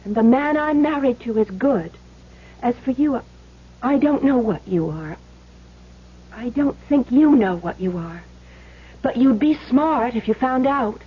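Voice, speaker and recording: A woman's voice: fairly high pitch, lively intonation, measured pace, pauses often, slightly rough. An actress playing a decent, spirited young married woman in an old-time radio drama, earnest, emotional and emphatic. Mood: firm, defiant. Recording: dull lo-fi old radio recording.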